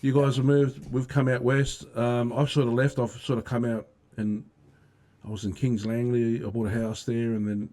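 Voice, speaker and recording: A man's voice: medium pitch, flat delivery, normal speed, mellow. A man of Samoan family, hosting a casual studio chat, jovial and chatty, often laughing. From Samoan family in Australia. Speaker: host.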